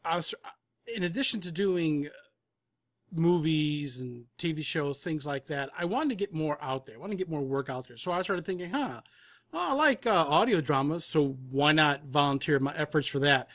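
A man's voice: on the high side, animated, moderate pace, a bit bright. A man chatting casually as an interview guest, rambling a little and rephrasing as he goes. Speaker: podcast guest, composer. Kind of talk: casual chat.

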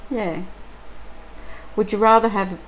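A middle-aged Australian woman chatting casually and musing aloud, with many pauses and ums, while she crafts at a table indoors. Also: mid-range voice, fairly even intonation, poor audio. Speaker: crafting vlogger.

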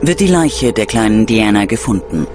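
A man narrating a scripted true-crime documentary in a dramatic, measured storytelling manner. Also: fairly low pitch, very animated, background noise. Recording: loud music bed under narration. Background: loud music.

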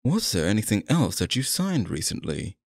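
A man acts out a sinister male stage magician in an ASMR roleplay, courtly on the surface and possessive underneath. His lowish voice swoops expressively through theatrical, coaxing phrases. The sound is dry and close, with no room around it. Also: big pitch swings, normal speed, dry recording. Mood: suave, showy.

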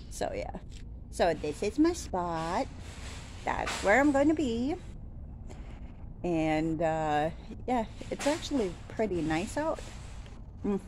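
A woman in her thirties chatting casually on a walk-and-talk vlog, rambling with lots of fillers and restarts, filmed on a phone at arm's length outside a store. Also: fairly low pitch. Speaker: vlogger. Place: outdoors by a store, daytime. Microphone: phone held at arm's length. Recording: outdoor phone recording with traffic noise.

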